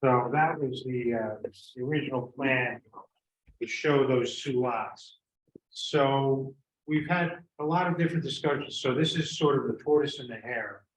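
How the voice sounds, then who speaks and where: A man's medium-pitched voice in an echoey room with slight background noise. He presents to a board, explaining things informally step by step. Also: measured pace. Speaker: presenter of a development plan to a board. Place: meeting room.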